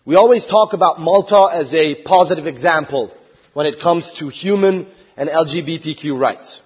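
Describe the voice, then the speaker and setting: A man's voice: a little high, normal speed, slightly rough, muffled recording. A man from Hungary, a member of parliament, giving an earnest prepared speech at a conference and rising to emphatic appeals.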